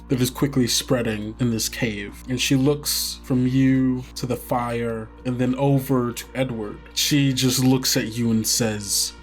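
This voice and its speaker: A man's voice: mid-range voice, fairly even intonation, a little slow, few pauses. A man narrating an actual-play tabletop game as game master, descriptive and a little hesitant in his storytelling. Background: guitar music under the voice.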